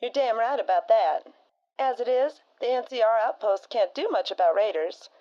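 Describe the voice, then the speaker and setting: A woman's voice: fairly high pitch, lively intonation, moderate pace, lo-fi sound, lightly acted. A woman playing a blunt, folksy frontier woman who talks with a drawl and slang as a radio co-host in a fan audio drama.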